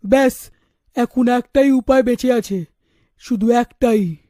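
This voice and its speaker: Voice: mid-range voice, takes it slowly, mellow, dry recording, clean studio sound, natural voice. An actor playing a troubled, protective middle-aged father in a cartoon, grave and insistent in manner. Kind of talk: animated story dialogue.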